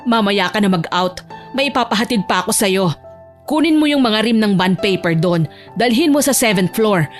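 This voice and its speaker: A woman's voice: medium pitch, expressive, normal speed, lightly acted. A woman voicing a grumpy, bossy team head in her forties in an audio drama, curt and commanding, over music. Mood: stern, ordering. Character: bossy, grumpy 45-year-old female office team head. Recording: music bed behind the voice.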